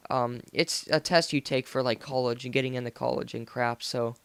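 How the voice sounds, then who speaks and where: A man's voice: medium pitch, fairly even intonation, normal speed, vocal fry, fairly bright tone, slight background noise. A teenage American male chatting casually as he narrates a gaming video, rambling in an informal, slangy way. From United States. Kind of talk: casual game commentary. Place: voice-over recorded indoors after gameplay.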